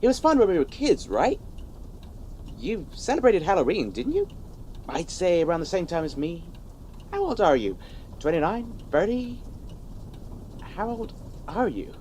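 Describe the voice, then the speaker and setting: A man playing an earnest, nervous young monster-hunting sidekick in a comedy audio drama, expressive in delivery. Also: high-pitched, unhurried, pauses often, slight background noise. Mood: teasing, curious.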